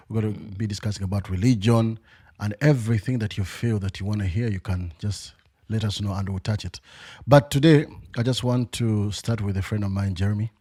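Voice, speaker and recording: A man's voice: fairly low pitch, a little mellow, husky. A young man hosting a casual podcast, English not his first language, asking follow-up questions and laughing often. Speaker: podcast host.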